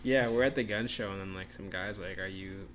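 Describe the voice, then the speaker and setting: Young dude, deeper voice, quiet mumbly podcast chat. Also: male voice, a little fast, slight background noise. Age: young adult. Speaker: podcast co-host. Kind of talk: casual chat.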